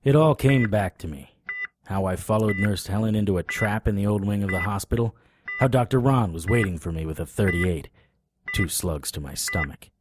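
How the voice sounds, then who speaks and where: An actor playing a world-weary supernatural private eye, narrating an audio drama in a wry, deadpan way. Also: male voice, fairly low pitch, normal speed, noisy background, lightly acted. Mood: wry, recollecting. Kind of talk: noir first-person audio drama narration.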